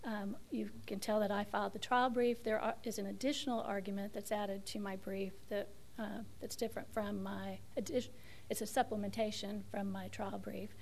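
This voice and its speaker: A woman attorney making an appellate oral argument while she is losing her voice, speaking in a measured, hesitant way with frequent restarts. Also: mid-range voice, level tone, gravelly, a little mellow, a little hiss or hum. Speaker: attorney arguing an appeal. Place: courtroom.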